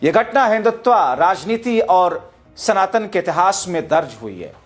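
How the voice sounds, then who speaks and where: A man's voice: high voice, sing-song, a little slow. A man presents political news in a dramatic, emphatic, rhetorical style, addressing his viewers directly. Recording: music bed behind the voice. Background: background music.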